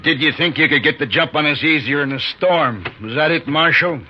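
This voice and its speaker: An American actor playing a brutal, mocking outlaw boss in a 1950s radio western, with a folksy, sardonic drawl. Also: male voice, on the high side, fairly quick, lightly acted. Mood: sardonic, challenging. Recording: muffled, noisy 1950s radio broadcast.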